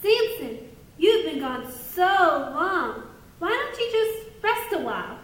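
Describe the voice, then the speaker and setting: Very high, cooing puppet wife. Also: female voice, big pitch swings, a bit bright, full character voice. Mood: soothing, coaxing. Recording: echoey room, off mic.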